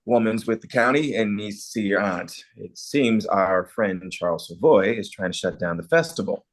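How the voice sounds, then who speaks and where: A man playing a helpful, hesitant small-town guy with a husky voice in a drama table read. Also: lowish voice, lively intonation, normal speed.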